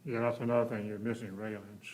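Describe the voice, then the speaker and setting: A man's voice: fairly low pitch, level tone, fast talker, darkish tone, poor audio. A man asking informal, direct questions as a commission member at a meeting.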